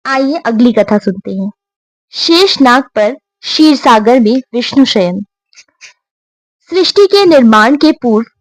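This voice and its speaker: A woman narrating scripted devotional stories in a measured, reverent and formal manner. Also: fairly high pitch, no echo, poor audio. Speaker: storyteller.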